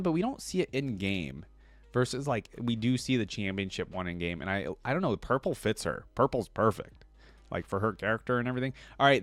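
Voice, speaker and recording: A man's voice: mid-range voice, a bit bright, no echo. A young man hosting a reaction video, talking casually and upbeat to his viewers with plenty of slang. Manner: chatty, upbeat, addresses viewers as guys; casual slang, repeats freaking.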